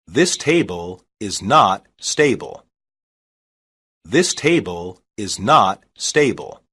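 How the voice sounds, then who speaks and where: A reader saying short English practice sentences slowly and evenly, each one twice, for a language lesson. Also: low voice, big pitch swings, pushed and bright, breathy edge. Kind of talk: slow repeated phrase reading.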